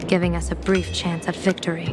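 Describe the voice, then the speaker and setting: A woman playing an enigmatic, playful female general and fortune-teller in a video game, speaking formally in measured, theatrical phrases. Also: on the low side, level tone, close to an everyday voice. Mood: grave. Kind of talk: scripted video game voice acting. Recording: loud music behind the voice. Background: game music.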